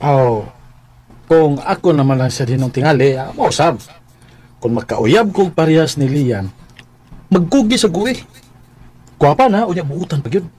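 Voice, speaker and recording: A man's voice: on the high side, lively intonation, normal speed, long pauses, darkish tone, husky. A man playing a chatty, teasing best buddy in a radio drama, speaking casually with a Cebuano Filipino accent. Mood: teasing, admiring.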